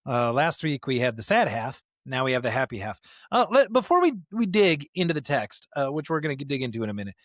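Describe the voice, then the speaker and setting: A man's voice: mid-range voice, very animated, brisk, hardly any pauses, no echo, studio quality. A man co-hosting a podcast in unscripted theological discussion, speaking emphatically and posing rhetorical questions. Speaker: podcast co-host.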